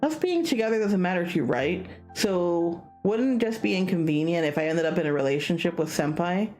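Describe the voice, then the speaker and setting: A woman's voice: lowish voice, animated, brisk, darkish tone, lightly acted. A woman playing a shy, easily exasperated young man in a visual novel. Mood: hesitant, questioning. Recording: music behind the voice.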